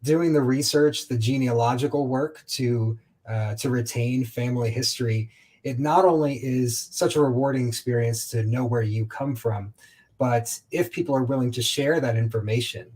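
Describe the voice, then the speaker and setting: A man hosting a conversational interview, speaking in a measured, articulate and reflective way. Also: mid-range voice, steady tone, darkish tone. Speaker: host, interviewer.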